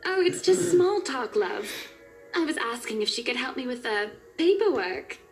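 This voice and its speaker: A woman's voice: high-pitched, expressive, normal speed. A woman voicing a haughty, wealthy socialite wife in a visual novel game, gushing with condescending, sugary politeness. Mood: breezy, pleased. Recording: music playing behind the voice.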